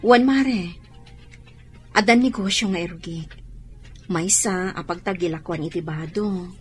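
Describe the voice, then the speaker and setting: A woman's voice: on the low side, a little slow, soft background music, lightly acted. A woman playing an easygoing, practical friend in an Ilocano radio drama. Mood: practical, upbeat.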